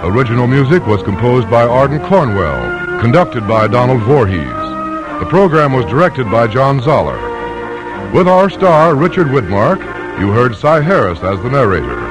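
Animated male vintage radio announcer. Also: medium pitch, normal speed, low-quality recording. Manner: polished, emphatic. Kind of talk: formal 1950s radio announcing. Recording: old radio broadcast recording. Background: orchestral music.

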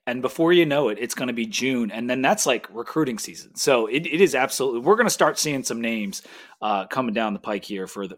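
Male podcast host, mid-range voice, keen and chatty sports talk in a relaxed conversational style. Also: medium pitch.

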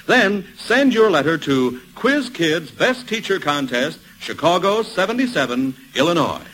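Lively, high-pitched American radio announcer man. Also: a little slow, low-quality recording. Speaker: commercial announcer. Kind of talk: scripted 1940s radio announcing.

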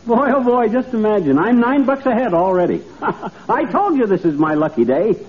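A cheerfully boastful middle-aged everyman in an old radio comedy. His highish voice swoops around with folksy, slangy swagger. The recording is tinny and noisy, like a vintage broadcast. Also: sing-song, moderate pace. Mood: gleeful, boastful. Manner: folksy, boastful, chuckling banter with slangy nicknames. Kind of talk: 1940s radio comedy acting.

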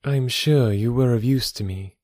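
A man's voice: medium pitch, moderate pace, a little mellow, no room sound, studio quality, lightly acted. A man voicing a witty, teasing young woman in audiobook dialogue, lifting his voice slightly for her.

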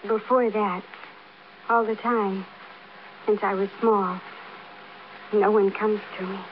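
An actress playing a lonely, fearful, fragile wife who confides in halting phrases in a 1950s radio drama. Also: female voice, medium pitch, steady tone, close to an everyday voice. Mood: haunted, sad. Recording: muffled, noisy vintage radio recording.

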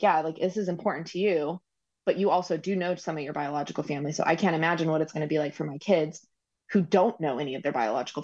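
A woman guest speaks at length in a relaxed podcast interview, her voice low for a woman. She rambles along with frequent ums and likes. The audio is dull and lo-fi. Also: slightly rough. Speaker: guest, recipient parent. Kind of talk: conversational interview.

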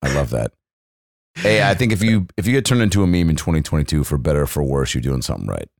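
A man's voice: a bass voice, sing-song, fast talker, gravelly, no echo, studio quality. A young male comedian co-hosting a podcast, riffing on tangents and acting out little bits in casual banter.

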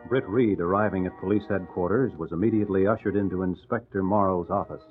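An actor as the brisk male narrator of an old-time radio drama serial. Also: low-pitched, close to an everyday voice. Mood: brisk, dramatic. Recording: muffled old radio, loud music behind.

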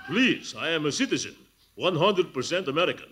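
A man's voice: fairly high pitch, expressive, a little fast, fairly bright tone, husky. A man doing a put-on foreign dialect as a fake immigrant gardener speaking broken English, in 1940s radio comedy. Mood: bluffing.

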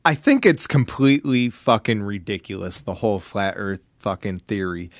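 A man guesting on a podcast, telling casual stories in an animated way and acting out what people said. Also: on the low side, moderate pace, no echo, clean studio sound. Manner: animated, acts out quotes. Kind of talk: casual storytelling chat.

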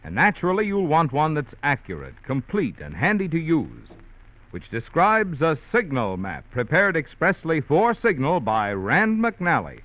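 A man's voice: a little high, wildly swooping pitch, a little slow. A man reading a scripted commercial as a 1940s radio announcer, on a muffled old recording.

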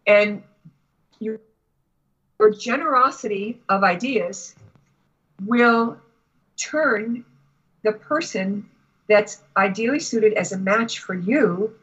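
Slow, husky woman giving a spiritual reading. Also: mid-range voice, fairly bright tone, dull audio. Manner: halting, deliberate phrasing with long pauses. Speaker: Akashic Records reader, guest. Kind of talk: spiritual reading given to a client.

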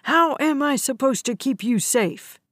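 A woman's voice: fairly low pitch, flat delivery, slow, darkish tone, dry recording, studio quality, voice pushed down. A woman reading aloud and voicing a stern, angry middle-aged father who speaks with controlled menace. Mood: angry lecture.

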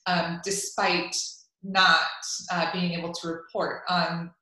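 Woman, spanish first language, bright tone, very echoey room, upbeat interview talk. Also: on the low side, level tone, takes it slowly. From Cuban family. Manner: upbeat, chatty, many fillers and restarts. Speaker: golf media executive. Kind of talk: interview answers.

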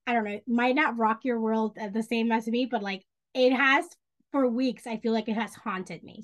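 A fairly high-pitched woman speaks as a podcast guest, a social worker from the Dominican Republic and New York City. She talks with animated emphasis, piling up asides and rhetorical questions as she works through her thoughts. Her delivery is conversational and insistent. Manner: animated, emphatic, thinks aloud with many asides.

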